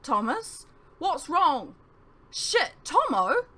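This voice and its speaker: Woman voicing Talgith, an excitable, high-pitched young man. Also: drawn-out words, heavily acted. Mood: panicked shrieking. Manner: exclamatory, urgent, voice pushed high. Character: Talgith, excitable young male friend, teasing, shrieking in panic. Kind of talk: audiobook character dialogue.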